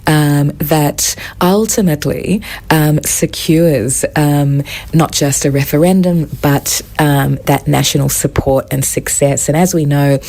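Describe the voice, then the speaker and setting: A woman co-chairing an advocacy group, answering interview questions in a measured, articulate way. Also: sits low, fairly even intonation, dark tone. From Australia.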